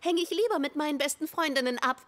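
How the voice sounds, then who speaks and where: Very high cheerful cartoon girl. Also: fast, fairly bright tone. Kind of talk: German cartoon dub acting.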